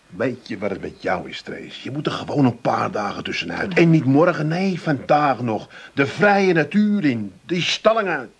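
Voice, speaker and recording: An actor playing a scheming, coaxing working-class husband in a comedic radio play. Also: male voice, medium pitch, very animated, normal speed, creaky, few pauses, breathy edge, full character voice.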